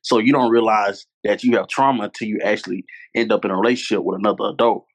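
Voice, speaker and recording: A man's voice: fairly low pitch, steady tone, fast. A man, a podcast guest talking candidly and earnestly, repeating his points for emphasis. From Louisiana, US. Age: 20s. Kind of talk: candid conversational storytelling.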